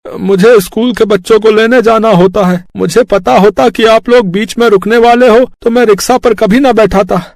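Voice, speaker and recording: Man playing a high-pitched grumbling rickshaw driver. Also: steady tone, normal speed, vocal fry, no echo, studio quality. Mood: annoyed. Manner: complaining, insistent.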